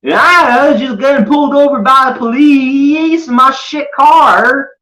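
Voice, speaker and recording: A man putting on a shrill, panicky voice of another man who yells in drawn-out, exaggerated outrage. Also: echoey room, heavily acted. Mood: outraged.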